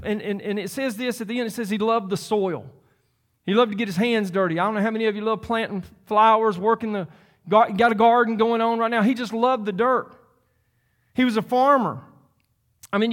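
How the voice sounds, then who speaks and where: A man, preaching a sermon in an animated way, repeating and restarting his phrases and climbing to near-shouts. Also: high voice. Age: 50s. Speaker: pastor.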